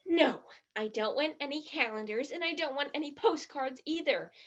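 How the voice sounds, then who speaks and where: A woman's voice: high-pitched, moderate pace, lo-fi sound, natural voice. An actress in a stage play playing a disgruntled, sarcastic young tourist who complains in exasperation about her trip. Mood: fed up.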